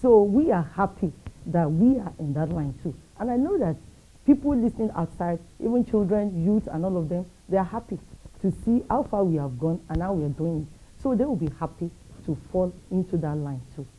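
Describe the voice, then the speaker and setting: A woman speaks warmly and proudly in an interview. Her voice sits a little low, with a very dark and mellow tone. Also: lively intonation, fairly quick, rough recording. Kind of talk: interview answers.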